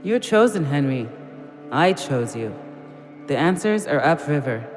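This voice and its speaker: A man's voice: a little high, very animated, unhurried. A man playing the solemn, summoning spirit of a lost friend in an audio drama. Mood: solemn, beckoning. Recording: long cavernous reverb over music.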